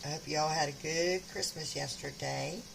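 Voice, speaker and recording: Gravelly low-voiced older woman. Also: steady tone, normal speed. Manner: homey, chatty, talks while cooking. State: sore throat. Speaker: home cook. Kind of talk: casual cooking vlog. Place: home kitchen. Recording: loud kitchen sizzle noise. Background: frying and sizzling food.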